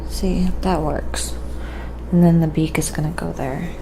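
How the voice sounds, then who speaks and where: A soft-spoken woman hosting a quiet ASMR painting livestream, musing aloud in a relaxed, rambling, casual way and often slipping toward a whisper. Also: low-pitched, unhurried, creaky, background noise.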